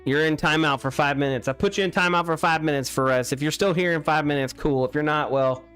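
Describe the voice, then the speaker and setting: A man's voice: on the high side, steady tone, brisk, fairly bright tone, clean tone, soft background music. A young man chatting casually on a live stream while building a game, exclaiming at mistakes and restarting his sentences, speaking into a studio mic. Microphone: studio mic with pop filter.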